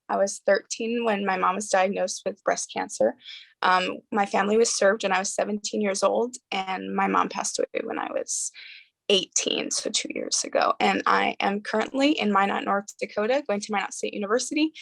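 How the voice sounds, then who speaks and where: A woman's voice: medium pitch, fairly even intonation, moderate pace, creaky, a bit bright, no room sound. A woman of twenty introducing herself as a panel guest, a little apologetic. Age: 20s.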